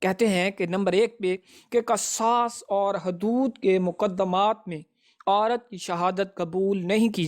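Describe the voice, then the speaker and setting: A man presents a prepared law lesson. He speaks slowly and formally, moving methodically through his points as an advocate explaining the rules to students. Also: high voice. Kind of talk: prepared educational lecture.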